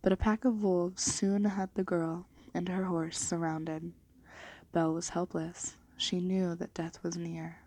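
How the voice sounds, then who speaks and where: A woman reading a children's storybook in a gentle, hushed ASMR style, dropping into whispers. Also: on the low side, little pitch movement, unhurried. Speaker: ASMR reader.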